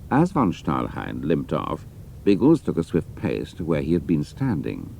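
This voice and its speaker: A man's voice: extremely low pitch, huge pitch swings, measured pace, creaky, a little mellow, a little hiss or hum. A man giving a dramatic scripted narration of an adventure story.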